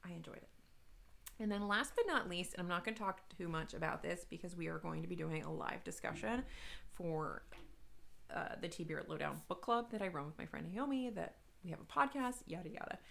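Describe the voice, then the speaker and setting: A woman's voice: on the low side, a little fast, creaky, fairly bright tone, slight background noise. A woman talking casually and emphatically to viewers as a book vlogger, in an informal, rambling, self-deprecating way. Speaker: book review vlogger and podcast co-host. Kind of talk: casual chatty video monologue to viewers.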